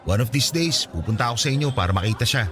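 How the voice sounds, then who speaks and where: An actor playing Raj, a caring young man giving his childhood friend advice, in a radio drama with soft music underneath. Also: medium pitch, lively intonation, fast talker, some chatter behind, close to an everyday voice.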